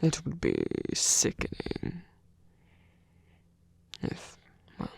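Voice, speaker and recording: A man playing a wary, self-doubting shapeshifting lover in an intimate ASMR roleplay, speaking haltingly in a breathy voice that often drops toward a whisper. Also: a little high, wildly swooping pitch, no echo, heavily acted. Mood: tender, yielding. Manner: hesitant, halting, sighing, softly self-deprecating.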